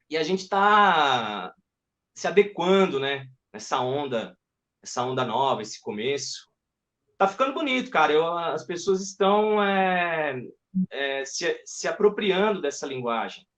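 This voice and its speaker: A man's voice: medium pitch, fairly bright tone. A man, a guest actor and puppeteer in a live video-call interview, talking in a rambling way with many restarts. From Paraná, southern Brazil. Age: 30s.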